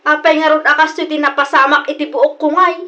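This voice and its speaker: An upset woman customer played by an actress complains in a high-pitched voice with an Ilocano accent. She sounds plaintive and insistent, in the style of an Ilocano audio drama. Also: level tone, a little fast, highs cut off, background noise, natural voice. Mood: upset, complaining. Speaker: drama voice actor.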